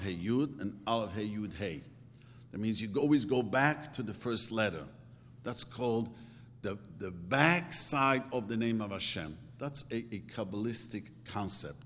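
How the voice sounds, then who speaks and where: Man preaching, very lively pitch, emphatic, long hall reverb. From grew up speaking Yiddish and Hungarian. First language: Yiddish. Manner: emphatic, swings from low asides to loud outbursts. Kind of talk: sermon.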